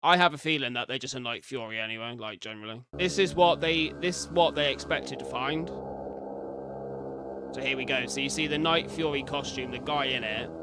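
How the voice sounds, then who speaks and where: A man's voice: mid-range voice, brisk, noisy background. A British man doing informal live reaction commentary as a streamer, reading captions aloud and adding chatty asides. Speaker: streamer reacting to horror videos.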